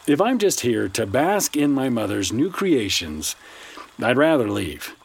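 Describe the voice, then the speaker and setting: An actor playing a skeptical, sarcastic therapist and bitter grown son in a science fiction audio drama, with dry, reluctant delivery. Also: male voice, medium pitch, sing-song, measured pace, gravelly, breathy edge, full character voice. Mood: sarcastic, irritated.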